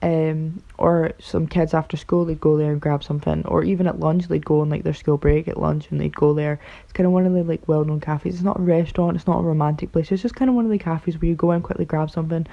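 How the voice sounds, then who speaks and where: A woman with a raspy voice from being ill, rambling through casual voice-over commentary on a game build, full of fillers and unsure asides. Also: low-pitched, steady tone, quick pace, few pauses. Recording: dull lo-fi recording.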